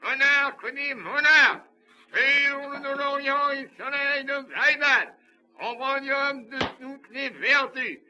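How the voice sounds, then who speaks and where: An actor playing a pompous, ceremonious court judge in a cartoon, with long-winded oratory. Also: male voice, very high-pitched, slow, pushed and bright, exaggerated voice. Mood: pompous, solemn. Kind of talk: theatrical cartoon dialogue. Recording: dull lo-fi film soundtrack.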